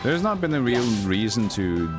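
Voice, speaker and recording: A man's voice: medium pitch, very animated, moderate pace, loud music behind the voice. A man giving casual live commentary as a game streamer, musing in a rambling way.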